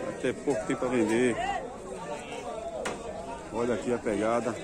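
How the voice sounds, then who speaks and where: A man's voice: mid-range voice, level tone, measured pace. A man with a Northeastern Brazilian accent hosting an informal vlog at a livestock fair, talking in short exclamatory catchphrases. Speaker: vlog host. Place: outdoor animal fair yard. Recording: outdoor fair with loud crowd chatter. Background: loud crowd.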